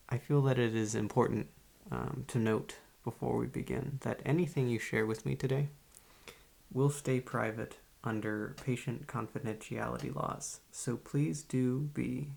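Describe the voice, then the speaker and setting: Psychiatrist, male actor, mid-pitched and husky, formal and gently probing, measured soft delivery for ASMR roleplay. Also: fairly even intonation, heavily acted. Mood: calm, courteous. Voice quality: creaky, husky. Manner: measured, polite, clinical, soft-spoken. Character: calm, formal, probing male psychiatrist interviewing a young patient. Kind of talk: ASMR roleplay, soft-spoken scripted drama.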